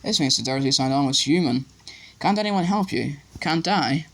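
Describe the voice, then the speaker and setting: A reader voicing a polite, nervous teenage boy wizard who speaks in a hushed, anxious way. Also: medium pitch, expressive, normal speed.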